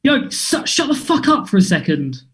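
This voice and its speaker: Excitable teenage lad as acted, impatient and snapping, lively swinging pitch, stage-play read. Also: moderate pace.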